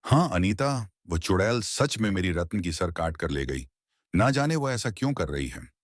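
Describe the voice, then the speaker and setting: Frightened village husband, acted by a man: deep-voiced, pleading, distressed, horror story. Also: sing-song, moderate pace, no room sound, studio quality. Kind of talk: dramatized story acting.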